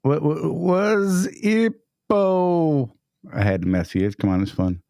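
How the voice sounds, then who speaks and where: A man's voice: a little high, expressive, takes it slowly, gravelly, dark tone, no room sound, clean studio sound. A man hosting a casual live stream, upbeat and greeting chat viewers by name.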